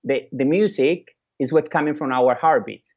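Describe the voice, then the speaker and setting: A man from Venezuela, a native Spanish speaker with a Venezuelan accent, giving a keynote speech with personal stories in a halting way, repeating phrases and pausing. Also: mid-range voice, a little mellow, lo-fi sound. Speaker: keynote speaker, music educator and orchestra leader.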